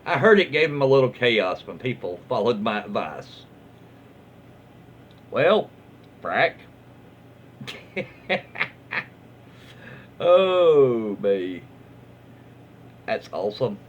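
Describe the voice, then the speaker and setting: Lively Southern man reading aloud. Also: mid-range voice, drawn-out words, long pauses, muffled recording, a little hiss or hum. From Alabama. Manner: wry, joking asides. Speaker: reader of online posts. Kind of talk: casual reading with commentary.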